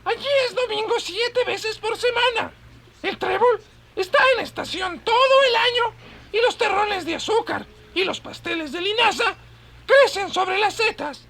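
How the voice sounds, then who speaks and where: A woman voicing a sly, gossiping tame raven who preaches of paradise in a high, creaky, cawing voice for an audio drama. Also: a little slow, fairly bright tone, a little hiss or hum, exaggerated voice. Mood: sly rapture.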